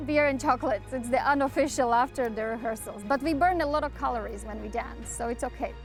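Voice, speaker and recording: A woman's voice: on the high side, quick pace, music in the background, rough recording. A woman from Latvia, a dance group member, explaining its story in an interview with a few fillers.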